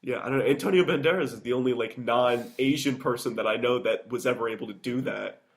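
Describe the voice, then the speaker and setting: A man's voice: mid-range voice, quick pace. A young man co-hosting a podcast, chatting casually and rambling, often restarting sentences and laughing. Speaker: podcast co-host. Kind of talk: casual chat.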